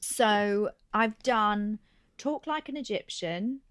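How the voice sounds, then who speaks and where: A woman's voice: mid-range voice, slow, a bit bright, smooth, no room sound. A woman comedian in her thirties, a guest chatting candidly on a podcast, laughing and often trailing off and restarting. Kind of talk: casual podcast chat.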